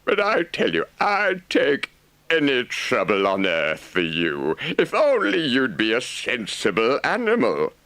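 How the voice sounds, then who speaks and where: A man's voice: medium pitch, wildly swooping pitch, unhurried, fairly bright tone, no room sound, pitched above the performer's own voice, full character voice. A man voicing a kindly, earnest rat, a loyal friend, in an audiobook. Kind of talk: audiobook character voice.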